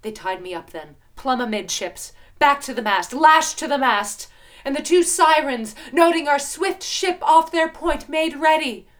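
A woman playing an anguished, high-voiced sea captain. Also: a bit bright. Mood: grave recounting. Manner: intense, theatrical, measured in telling, wailing in pleas. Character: anguished male sea captain, pleading, raging, recounting his voyage. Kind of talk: dramatic audio drama verse narration.

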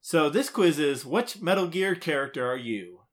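A man's voice: on the high side, lively intonation, normal speed. A man co-hosting a podcast in casual, playful banter, sometimes reading out quiz questions in a teasing way. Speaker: podcast co-host.

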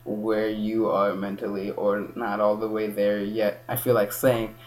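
A man's voice: fairly low pitch, little pitch movement, measured pace, a little mellow. A young man from Kenya in casual chat, rambling with many restarts and self-corrections.

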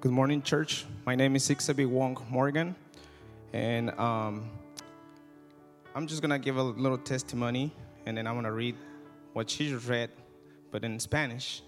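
A man's voice: mid-range voice, fairly even intonation, moderate pace. A man greeting the church and starting a testimony, hesitant and informal. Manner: hesitant, with fillers. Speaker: congregant giving testimony. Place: large church hall. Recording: distant voice in church hall with music.

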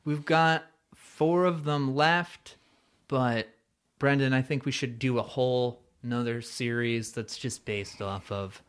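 A man's voice: medium pitch, a bit bright. A man hosting a podcast, talking in a laid-back, jokey way and swearing casually. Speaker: podcast host.

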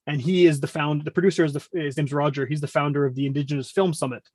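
Casting: middle-aged man, slightly higher-pitched, chatty podcast guest with a rambling delivery. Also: fairly even intonation, fast, a little mellow, breathy edge, no echo. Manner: talkative, rambling, self-interrupting. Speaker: podcast guest, music podcast host. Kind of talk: casual conversational interview.

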